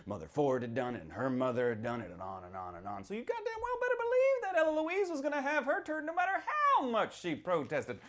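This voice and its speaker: A man playing a folksy American small-town storyteller, telling a dark witch legend in a theatrical, conspiratorial way with questioning tags. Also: on the high side. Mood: dramatic, building. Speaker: author reading from a novel. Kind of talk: theatrical in-character reading of horror fiction.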